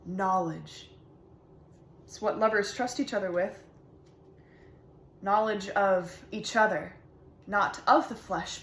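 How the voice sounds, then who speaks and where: Woman playing intense, reflective lover for a stage monologue: deliberate, weighted phrasing, mid pitch, echoey room. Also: lightly acted. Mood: earnest, intimate. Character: intense lover reflecting on intimate knowledge and loss.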